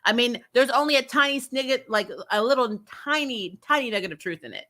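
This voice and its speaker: Bright-voiced woman venting in a casual review. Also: on the high side, fairly quick. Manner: blunt, exasperated, sweary. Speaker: host of a TV show recap channel. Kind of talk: casual opinionated review chat.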